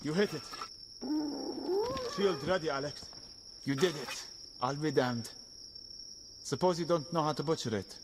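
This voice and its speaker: An actor playing a gruff, wry travelling companion out hunting in an audio game, low-key and dry. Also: male voice, measured pace, long pauses, natural voice. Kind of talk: naturalistic audio game acting. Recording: noisy outdoor ambience with crickets.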